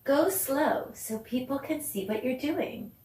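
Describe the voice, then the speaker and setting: A woman teaching a children's online dance lesson, speaking in an encouraging, animated way with lots of questions and deliberate pauses. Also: sits high. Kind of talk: children's online arts lesson, addressing viewers. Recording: echoey room, distant mic, faint noise.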